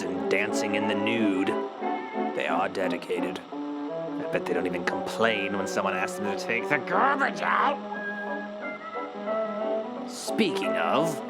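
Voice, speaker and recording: A man hosting a horror radio show, giving a wry comedic outro in a deadpan, sardonic way. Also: fairly low pitch, poor audio. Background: loud music.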